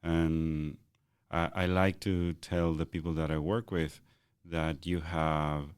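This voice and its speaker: Deep booming man, thoughtful and halting. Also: no echo, clean studio sound. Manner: thoughtful, halting with repeated starts. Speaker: podcast co-host. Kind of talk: reflective conversational chat.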